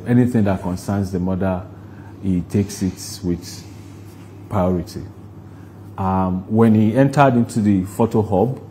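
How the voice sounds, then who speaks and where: A man's voice: sits low, mellow, a little hiss or hum. A man with a Nigerian accent speaking as a producer in an interview, recounting at length and repeating words for emphasis. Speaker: interview guest, stage play producer.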